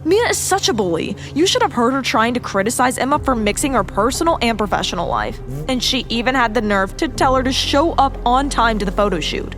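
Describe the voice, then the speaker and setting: An actress playing a sharp-tongued young woman friend who mocks others in a scornful, gossipy way in an audio drama. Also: a little high, big pitch swings, fairly quick, hardly any pauses, soft background music.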